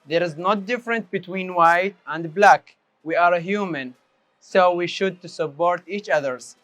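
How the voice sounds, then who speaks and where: A man's voice: high voice, normal speed, fairly bright tone, dry recording. An earnest man from Iraq, speaking simple as he tells his story. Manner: simple non-native English, earnest. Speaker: interviewee. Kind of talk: personal testimony.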